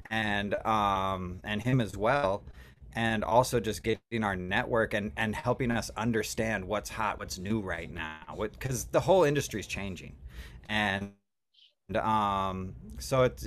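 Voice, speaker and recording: A man's voice: on the low side, a bit bright, slight background noise. A man speaking casually and at length as a guest music producer, with slangy, talkative phrasing. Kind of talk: casual podcast chat.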